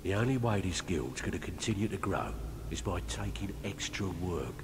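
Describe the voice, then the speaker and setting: A man playing a shrewd, gruff middle-aged thief in a fantasy game, talking bluntly and colloquially. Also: sits low, animated, moderate pace. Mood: conspiratorial. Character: gruff, shrewd middle-aged male thieves' guild fixer. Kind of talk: fantasy video game dialogue acting. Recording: noisy game capture with ambience.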